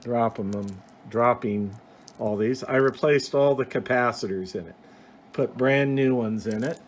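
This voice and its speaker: A man's voice: medium pitch, a little slow. Man casually showing gear, noisy background. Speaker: hobbyist video host. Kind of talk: casual unscripted show-and-tell. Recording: clear background noise.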